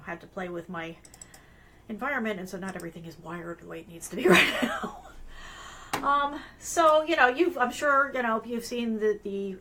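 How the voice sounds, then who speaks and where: A woman's voice: mid-range voice, fairly bright tone, a little hiss or hum. A middle-aged woman hosting a live career webcast, talking in a loose, rambling way with many fillers and restarts, her voice strained from allergies. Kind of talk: casual live-stream talk with screen sharing.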